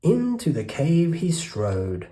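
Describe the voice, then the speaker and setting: A storyteller reading a rhyming children's picture book aloud with rhythmic, expressive delivery. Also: medium pitch, slow. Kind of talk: children's read-aloud narration.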